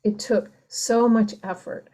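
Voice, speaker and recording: A woman poet talking reflectively and reading her work in a thoughtful, deliberate way. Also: medium pitch, steady tone, warm tone. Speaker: poet, podcast guest. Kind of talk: reflective talk and poetry reading.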